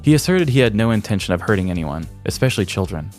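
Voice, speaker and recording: A man's voice: lowish voice, very animated, fast, darkish tone, soft background music. A man co-hosting a podcast, speaking in a storytelling and conversational style. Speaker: podcast co-host. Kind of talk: scripted story narration and casual banter.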